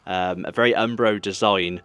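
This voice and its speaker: A man's voice: on the low side, fairly even intonation, moderate pace, a bit bright, clean tone. A man in his thirties with an English accent, hosting a casual countdown vlog in a chatty, opinionated way, talking straight to viewers. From England. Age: 30s. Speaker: host of a football shirt channel. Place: small room full of football memorabilia. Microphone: small wireless mic held in hand. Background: music.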